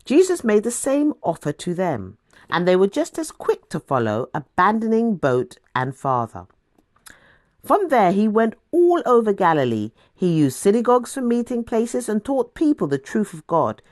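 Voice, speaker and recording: A woman reading scripture as a dramatic storyteller, deliberate, with emphatic pauses. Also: medium pitch, huge pitch swings, a little mellow, no room sound, studio quality. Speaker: scripture reader. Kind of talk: expressive scripted Bible narration.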